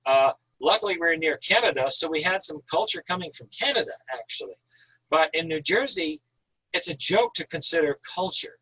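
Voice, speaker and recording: A man's voice: fairly high pitch, expressive, normal speed, pushed and bright, breathy edge, rough recording. An American man from Northern Maine, an author reading prose and talking informally about writing. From Northern Maine, USA. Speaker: author reading and discussing their own thriller.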